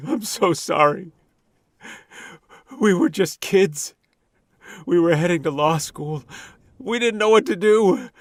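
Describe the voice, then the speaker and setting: A man's voice: sits high, expressive, slow, pauses often, dry recording, clean studio sound. Man acting a guilt-ridden husband, swooping and anguished. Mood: pleading. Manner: pleading, anguished. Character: guilt-ridden frightened young husband haunted by his past. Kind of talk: horror radio drama acting.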